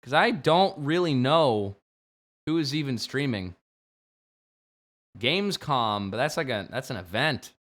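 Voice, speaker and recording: Brief: man in his 20s, game streamer, joking late-night banter, very animated pitch, loud outbursts. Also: a little slow, pauses often. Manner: playful, jokey, swings from mumbling to sudden shouts. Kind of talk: late-night livestream chat.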